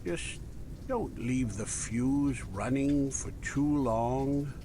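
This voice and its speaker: A man voicing a chatty, obliging weapons merchant from the musket era in a video game, talking in unhurried salesman patter. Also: mid-range voice, noisy background. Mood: cautionary, amused. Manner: unhurried, conversational salesman patter with dramatic pauses. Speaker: game shopkeeper character.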